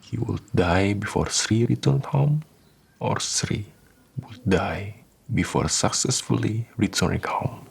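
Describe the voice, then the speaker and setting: A man narrating a scripted radio drama as a solemn, measured storyteller. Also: on the low side, lively intonation, vocal fry, a little hiss or hum.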